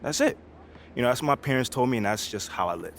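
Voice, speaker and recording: A man's voice: mid-range voice, lively intonation, fast, fairly bright tone. An actor playing an earnest young male basketball rookie in a video game, talking in an informal, self-assured, slangy way. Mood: calm, disappointed. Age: young adult. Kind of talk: video game cutscene acting, casual interview talk. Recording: clear background noise.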